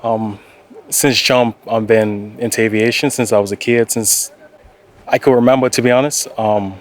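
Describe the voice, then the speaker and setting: A man's voice: medium pitch, steady tone, moderate pace. A young man giving informal interview answers as an air traffic controller. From Bermuda. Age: young adult. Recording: light background ambient noise.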